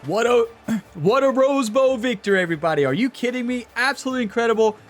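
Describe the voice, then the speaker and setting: A man's voice: very high-pitched, quick pace, soft background music. A man hosting a gaming video in casual commentary, talking straight to his viewers, still getting his voice back after being sick. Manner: excitable, talks straight to viewers. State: recovering from losing his voice.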